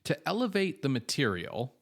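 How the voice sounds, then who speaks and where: A man's voice: on the high side, huge pitch swings, normal speed, vocal fry. A man co-hosting a film podcast, reading background notes in an informative, casual way with frequent fillers. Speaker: podcast co-host.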